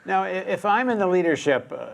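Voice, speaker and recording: A man's voice: high-pitched, animated, a little fast, vocal fry. A man hosting a political interview, thinking aloud through long, discursive questions. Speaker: interviewer and host.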